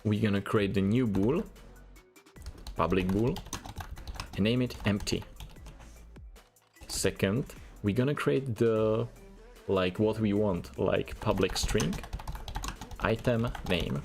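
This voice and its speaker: A man's voice: lowish voice, slow pace, creaky, long pauses, a little mellow, soft background music. A man from the Czech Republic with a Czech accent, whose first language is Czech, walks through a coding tutorial in plain step-by-step instructions and keeps repeating "we're gonna". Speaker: programming tutor. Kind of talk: screen-recorded coding tutorial.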